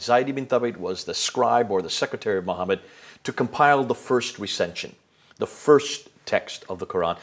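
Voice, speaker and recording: A man giving an explanatory lecture to an interviewer, emphatic, with rhetorical questions and frequent fillers and restarts. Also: lowish voice.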